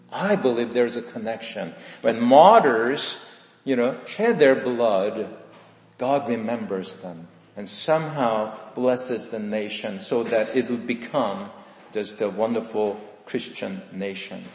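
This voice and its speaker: A man from South Korea with a Korean accent, preaching a sermon in earnest, rising for stress and dropping low at the end of phrases. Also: darkish tone. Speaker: pastor. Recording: echoey hall with long reverb.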